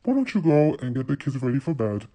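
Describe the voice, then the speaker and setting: A man playing a calm, authoritative husband in an acted scenario, measured and condescending in manner. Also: mid-range voice, close to an everyday voice. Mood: calm, instructing. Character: calm, authoritative husband, mildly disappointed, indulgent.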